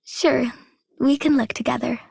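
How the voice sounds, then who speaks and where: A shy, slow, creaky-voiced girl. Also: sits high, darkish tone, slight background noise, exaggerated voice. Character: shy, quiet young woman who avoids crowds. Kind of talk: scripted game character greeting.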